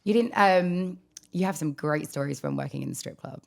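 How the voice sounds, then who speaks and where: Young woman, australian accent, croaky, gravelly fry, lowish pitch, teasing banter. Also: normal speed, darkish tone. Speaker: podcast co-host. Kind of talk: casual chat.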